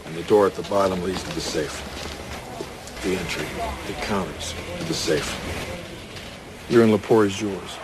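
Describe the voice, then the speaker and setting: An actor playing a seasoned, world-weary police detective in a crime audio drama, dry, clipped and matter-of-fact. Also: male voice, sits low, normal speed, pauses often, noisy background, close to an everyday voice. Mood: terse, certain. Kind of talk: hard-boiled crime radio drama with first-person narration. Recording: music behind the voice.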